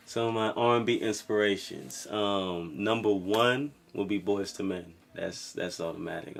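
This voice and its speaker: Young man from Brooklyn, singer-songwriter, low soft voice, relaxed and rambling. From Brooklyn, New York. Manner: relaxed, rambling, lots of fillers like "you know". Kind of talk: casual talk to camera about his music.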